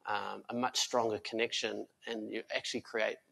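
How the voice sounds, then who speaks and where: A man's voice: fairly low pitch, level tone, moderate pace, gravelly, sharp tone, slightly rough, poor audio. A man speaking as a guest on a conference panel, earnest and full of fillers and restarts. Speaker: panel guest, tech company founder. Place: conference stage before an audience.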